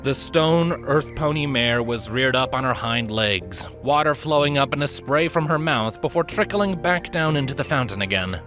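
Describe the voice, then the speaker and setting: A man reading a story aloud as narrator, in an expressive scripted style. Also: medium pitch, normal speed, hardly any pauses, music in the background. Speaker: narrator, fan fiction reader.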